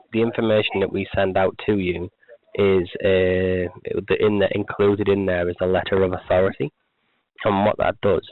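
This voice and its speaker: Brief: croaky male telemarketer, low flat delivery, tinny phone line. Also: no echo. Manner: rambling, repetitive sales pitch with many restarts. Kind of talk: sales phone call. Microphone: telephone.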